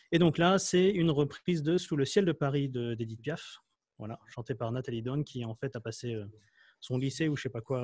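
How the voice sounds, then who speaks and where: A man's voice: mid-range voice, a little mellow, dry recording. A man in a casual tech chat, telling things in an informal, slangy, anecdotal way. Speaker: podcast panelist.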